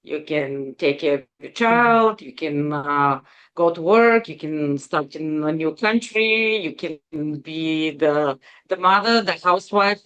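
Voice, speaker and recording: A woman in her forties with a Bulgarian accent, a guest telling her story in an interview, hesitant with many pauses. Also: on the low side, fairly bright tone, highs cut off. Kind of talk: interview conversation.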